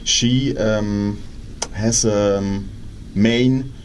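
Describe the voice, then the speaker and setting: A man with a Swiss German accent hosts a radio interview, his voice sitting low. He talks hesitantly, with many fillers and restarts. A faint hiss runs under the studio sound. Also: steady tone, very slow. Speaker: radio host.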